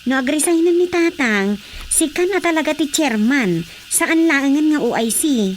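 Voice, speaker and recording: A grown daughter in a radio play, explaining the family news in a high voice. A faint hum of night ambience sits behind her. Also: expressive, moderate pace. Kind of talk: radio drama acting.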